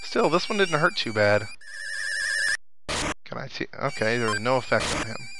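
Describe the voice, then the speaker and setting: A man's voice: medium pitch, lively intonation, normal speed, very noisy. A man giving casual let's play commentary, thinking aloud to his viewers as he plays. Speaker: gaming video host. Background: video game music and sound effects.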